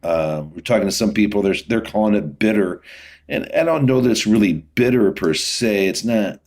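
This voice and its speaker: A man's voice: lowish voice, normal speed, a little mellow. A middle-aged man talking casually as an interview guest, explaining things in a rambling, easygoing way. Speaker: podcast guest, whiskey distiller.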